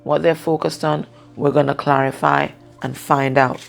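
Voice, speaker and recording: A woman with a husky voice giving a casual, unscripted card reading, pausing and often checking in with the listener. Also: low voice, level tone. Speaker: tarot and oracle card reader.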